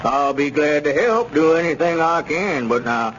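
Slippery rural squire, folksy bluster, very animated delivery, muffled old radio sound. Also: male voice, fairly high pitch, moderate pace. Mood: protesting, evasive. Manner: blustering, protesting innocence in folksy phrasing. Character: evasive small-town squire peddling dubious mining stock. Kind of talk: 1930s radio comedy serial acting. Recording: muffled, noisy 1930s radio recording.

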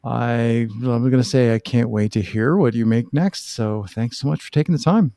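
A man's voice: mid-range voice, normal speed, mellow, no room sound. Man podcasting in a dry, close sound. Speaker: podcast host, interviewer. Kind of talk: casual thoughtful conversation.

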